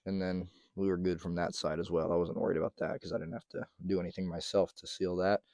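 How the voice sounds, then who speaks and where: A man, slightly hoarse, chatting casually through a van stove install, with lots of ums and throat clearing. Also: low-pitched, level tone, fast talker, a little mellow, no room sound, studio quality. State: slightly hoarse, losing his voice. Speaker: van life vlogger. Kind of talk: casual how-to narration to camera.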